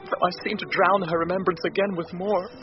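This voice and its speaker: An actor playing a courteous, grieving young gentleman in a classical Shakespearean radio play, with formal, earnest delivery. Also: high-pitched, flat delivery, a little fast, music in the background, natural voice. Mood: melancholy. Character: courteous, melancholy young gentleman grieving his drowned sister. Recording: muffled radio drama recording.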